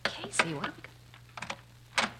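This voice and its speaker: An actress playing a sleuth's loyal, alert woman companion in a 1940s radio drama, with a husky voice. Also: unhurried, noisy background, natural voice. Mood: startled.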